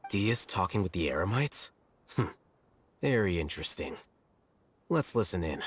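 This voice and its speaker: A man playing a coolly analytical, self-assured male scribe in a video game, deadpan and precise. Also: low-pitched, normal speed, slightly rough, full character voice. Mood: intrigued.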